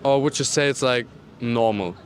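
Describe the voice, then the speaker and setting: Male tourist, mid-pitched, hesitant street interviewee, outdoors in a city. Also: normal speed, slight background noise. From Germany. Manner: hesitant, many fillers and restarts. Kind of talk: casual street interview answers.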